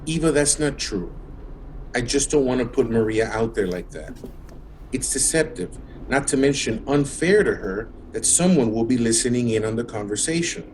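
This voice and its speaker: A man's voice: medium pitch, lively intonation, normal speed, a little mellow, a little hiss or hum, natural voice, rough recording. An actor in an audio drama playing a recently divorced man, earnest and defensive as he placates his lover. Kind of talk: contemporary audio drama acting. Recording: music under the voice.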